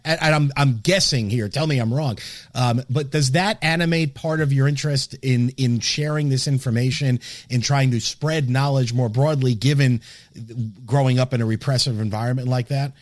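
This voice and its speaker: Halting male interviewer, very dry sound. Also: medium pitch, no echo. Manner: halting, wordy, repeats words while forming questions. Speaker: interviewer, host. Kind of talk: conversational interview questioning.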